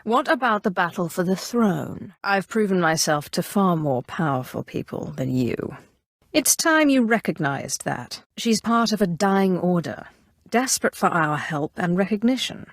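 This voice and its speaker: An actress playing a cool, ambitious Sith inquisitor in a video game, formal, haughty and commanding in measured, scripted lines. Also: female voice, fairly low pitch, lively intonation, no echo, clean studio sound. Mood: haughty, stern.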